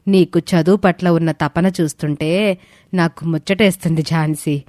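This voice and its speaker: Woman as a kindly lowish-voiced warden. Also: fairly even intonation, moderate pace, darkish tone, no room sound, studio quality, natural voice. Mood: concerned, admiring. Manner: motherly, encouraging. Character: kindly, admiring female hostel warden. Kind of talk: scripted audio story dialogue.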